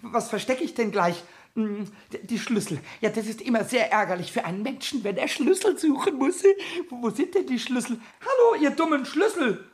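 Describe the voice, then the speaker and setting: An actor playing a tiny, mischievous male kobold in a 1960s children's radio play, with a high squeaky voice and a giggly, scheming way of talking. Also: lively intonation, normal speed. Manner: giggly, scheming, talks to objects.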